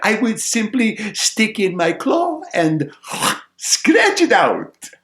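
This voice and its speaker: A man voicing a tiny, cheerful, untroubled sparrow in a folk tale, with a Russian accent and singsong, drawn-out phrases. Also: high-pitched, very animated, fairly bright tone, pitched above the performer's own voice, exaggerated voice. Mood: breezy, confident. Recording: roomy, echoing space.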